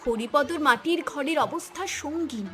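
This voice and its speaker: A woman's voice: high voice, lively intonation, normal speed, loud music behind the voice. A woman giving dramatic scripted narration as the narrator of a story.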